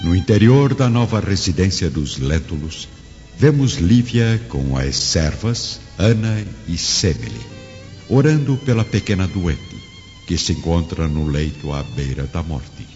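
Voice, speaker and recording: A man's voice: sits low, animated, measured pace, a little mellow. A man narrating a radio drama from a script in a solemn, theatrical manner. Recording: dull audio with long reverb and music.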